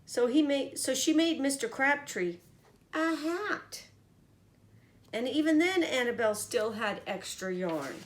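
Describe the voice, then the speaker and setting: Lady teacher reading a kids book, really lively up and down voice, takes it slow. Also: sits high, slight background noise. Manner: expressive, pauses to comment to young listeners. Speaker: teacher reading aloud to children. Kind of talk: animated picture-book storytelling.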